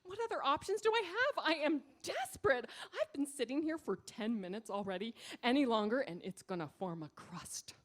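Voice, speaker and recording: Exasperated, high-voiced, dramatic wife. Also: female voice, animated, normal speed, hardly any pauses. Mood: curious, needy. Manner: folksy, exclamatory, dramatic. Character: exasperated, bossy wife stuck in bathroom, earthy. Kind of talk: comic radio sketch acting.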